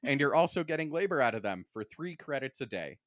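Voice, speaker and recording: A man's voice: medium pitch, sing-song, fast talker, fairly bright tone, no echo, studio quality. A man co-hosting a podcast, talking casually about books and peppering his speech with "like". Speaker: podcast co-host. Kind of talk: casual book discussion chat.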